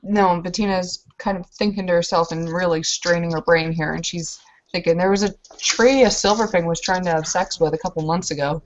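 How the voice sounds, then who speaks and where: Woman, lowish pitch, casual tabletop roleplayer, conversational delivery, muffled lo-fi recording. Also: steady tone, fairly quick. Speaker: player in a tabletop roleplaying game. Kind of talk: casual game chat, describing her character's actions.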